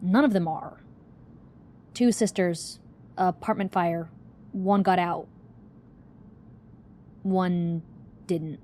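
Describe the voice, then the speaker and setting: An actress playing a terse, stubborn female investigator in an audio drama, speaking in a clipped, deadpan way. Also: on the low side, very slow, long pauses. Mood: guarded. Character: terse, stubborn female investigator, quietly tense travel companion.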